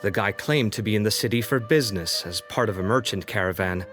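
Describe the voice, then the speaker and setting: A man's voice: lowish voice, a little fast, a bit bright, faint music behind, lightly acted. A man voicing a candid young man in a video game, casual, emphatic and opinionated. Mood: explaining. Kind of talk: English video game dub acting.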